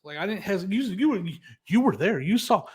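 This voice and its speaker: A man's voice: high-pitched, animated, fast, a crowd in the background. A man co-hosting a podcast, talking in an upbeat, casual way and laughing often. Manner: upbeat, laughs often, proud of his finds. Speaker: podcast co-host. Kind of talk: casual chat.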